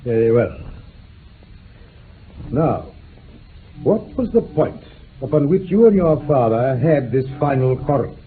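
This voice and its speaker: An actor playing a stern, sceptical coroner who questions formally and pointedly in a radio drama. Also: male voice, mid-range voice, lively intonation, takes it slowly, pauses often. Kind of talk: 1960s British radio drama acting. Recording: tinny, band-limited old radio recording with hiss.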